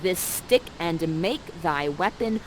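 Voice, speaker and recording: A woman voicing a commanding, scheming sorceress mother, declaiming loudly as in a stage play. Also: fairly low pitch, expressive, takes it slowly, a little hiss or hum, pitched below the performer's own voice. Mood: menacing. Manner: loud theatrical declaiming.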